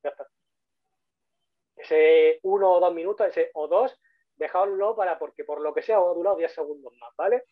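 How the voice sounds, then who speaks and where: A man's voice: fairly high pitch, normal speed, dry recording. A man from Spain with a Spanish accent, giving a casual online talk as a 3D modeler on a video call, chatty and repeating points for emphasis. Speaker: guest speaker, 3D modeler. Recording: tinny phone-like call audio.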